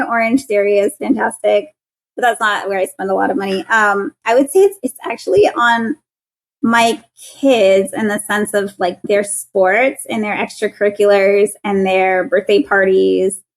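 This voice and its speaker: Woman, 30s, young-sounding voice, middle pitch, earnest, laughs a lot, interview guest. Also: measured pace, poor audio. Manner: earnest, laughs often, restarts words. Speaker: guest, patent attorney. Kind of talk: casual interview conversation.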